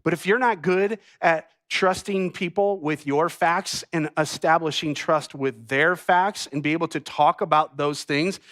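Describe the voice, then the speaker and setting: A middle-aged man from New England preaching a sermon, telling stories and acting out bits of dialogue with lively energy. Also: on the high side, no room sound, studio quality. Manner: animated storytelling with acted-out dialogue. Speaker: pastor. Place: church stage.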